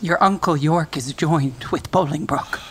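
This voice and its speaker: A woman playing a royal messenger who reluctantly delivers bad news in a measured way. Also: sits low, husky. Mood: grave.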